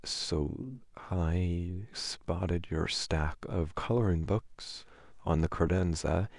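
Flustered, affectionate boyfriend played by a man for ASMR audio roleplay: hesitant, creaky, close and dry. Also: deep voice, darkish tone, no echo, exaggerated voice. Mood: sheepish, confiding. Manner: hesitant, halting, wordy.

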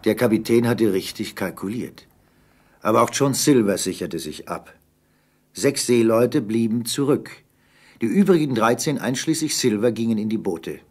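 A man with a husky voice narrating an adventure radio play as scripted storytelling. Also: lowish voice, expressive, normal speed, a little mellow.

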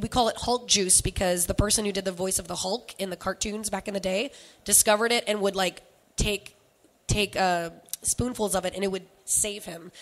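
Chatty young woman, bright voice. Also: mid-range voice. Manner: chatty, laughing, fast asides. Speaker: voiceover actor and singer on a panel. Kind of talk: casual panel talk. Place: convention panel room, indoors. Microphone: handheld microphone.

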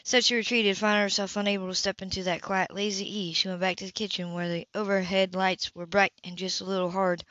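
A woman reading a novel aloud at an unhurried pace, with small restarts. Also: mid-range voice, bright, forceful tone, dry recording. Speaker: audiobook reader. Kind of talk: amateur novel narration. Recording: dry, slightly dull home recording.

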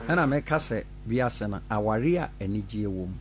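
A middle-aged man, a pastor from Ghana, telling his side in an animated radio studio interview. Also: on the low side, fairly quick, soft background music. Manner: animated, gestures while talking, laughs often. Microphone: studio mic on boom arm.

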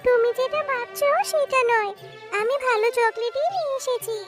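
A woman's voice: very high voice, moderate pace. A woman voicing a sweet, helpful, childlike magical cartoon creature in a children's fairy tale, speaking simply and earnestly. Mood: sweet, eager. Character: childlike magical talking jackfruit and little fox girl, sweet, helpful. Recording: loud tinkling children's music behind.

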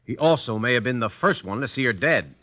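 An actor playing a brisk, matter-of-fact homicide lieutenant in a 1950s radio drama. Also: male voice, mid-range voice, lively intonation, fairly bright tone, lightly acted. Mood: explaining. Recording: dull, lo-fi 1950s radio recording.

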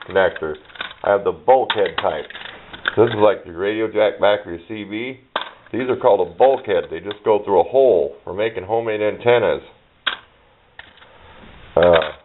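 A middle-aged man giving a casual, rambling show-and-tell in an offhand, folksy way, recorded on a handheld camera's built-in microphone. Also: fairly low pitch. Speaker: hobbyist showing a parts collection. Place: indoor workbench. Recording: muffled phone-like sound with hiss, clicks.

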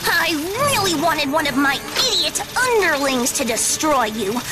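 A woman voicing a bratty, scheming evil teenage niece in a cartoon video game, with sneering, drawn-out sarcasm. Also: high voice, big pitch swings, loud music behind the voice. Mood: annoyed, menacing.

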